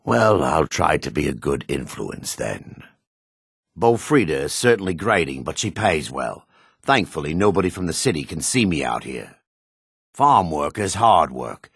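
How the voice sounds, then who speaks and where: An actor playing a proud, gruff dark elf warrior in a fantasy game, with a raspy voice and a haughty, clipped, sardonic manner. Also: male voice, extremely low pitch, sing-song, unhurried, no room sound, studio quality, heavily acted. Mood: weary, matter-of-fact. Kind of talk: fantasy video game character dialogue.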